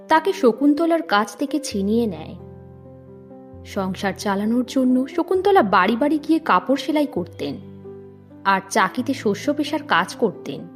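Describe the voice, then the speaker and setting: A woman narrating an audio story in an expressive, dramatic storytelling manner. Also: fairly high pitch.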